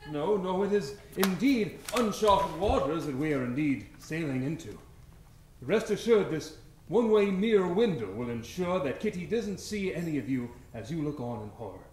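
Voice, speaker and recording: A man's voice: on the high side, sing-song, normal speed, a little mellow. A man playing a solemn, florid prison warden, speaking in a wordy, theatrical old-time radio style. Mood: reassuring, grave. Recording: echoey stage, faint noise.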